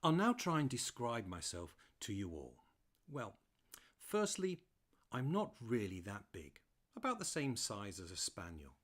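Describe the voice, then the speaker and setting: A man voicing a playful, chatty terrier who tells his own story in an animated, mischievous manner, as audiobook narration. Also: mid-range voice, a little slow, full character voice. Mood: chatty, friendly. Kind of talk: first-person audiobook narration.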